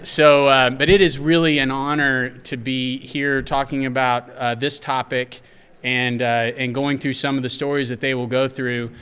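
A man from West Texas introducing a conference panel from a podium, talking haltingly with many restarts. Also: medium pitch, level tone, fairly bright tone, smooth. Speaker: panel moderator. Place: hotel conference room. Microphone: podium mic. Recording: reverberant hall.

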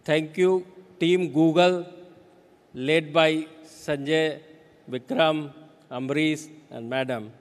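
Slow-speaking Indian man in a big hall. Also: fairly high pitch, fairly even intonation. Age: middle-aged. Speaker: company chairman. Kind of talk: formal thank-you speech. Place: large auditorium stage. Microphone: handheld microphone. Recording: large hall PA, long reverb.

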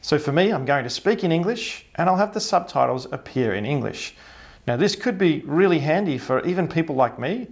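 A man's voice: on the high side, animated, fairly quick. Australian man giving tech tips. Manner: upbeat, explanatory, direct to camera. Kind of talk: scripted tutorial to camera. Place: indoor studio with a blurred office backdrop.

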